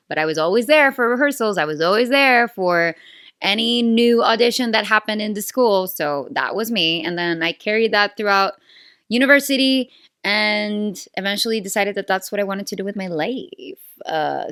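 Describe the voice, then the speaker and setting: A playful, chatty woman in her thirties with a Venezuelan accent hosts her own podcast, talking loosely and often checking in with the listener. Spanish is her first language, and she speaks at an average pitch for a woman. The sound is dry and close, with no room echo. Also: a bit bright, clean tone. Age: 30s. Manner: chatty, playful, self-aware, often asks "right?". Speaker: podcast host. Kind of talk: casual solo podcast chat.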